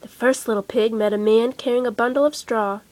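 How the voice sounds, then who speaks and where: A woman narrating children's bedtime stories with expressive, dramatic and emphatic phrasing. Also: fairly high pitch, fairly quick, dry recording, rough recording. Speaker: storyteller.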